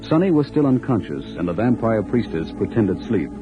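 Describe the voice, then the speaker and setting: A man's voice: fairly low pitch, sing-song, moderate pace. A man narrating an adventure serial in 1940s radio style. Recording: muffled old radio recording. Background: music.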